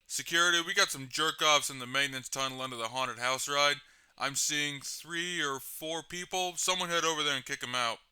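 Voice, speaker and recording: A man playing a gruff, short-tempered security control room boss in an audio drama, curt, profane and commanding. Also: a little high, moderate pace, bright, forceful tone. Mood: curt, businesslike. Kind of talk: radio dispatch in an audio drama.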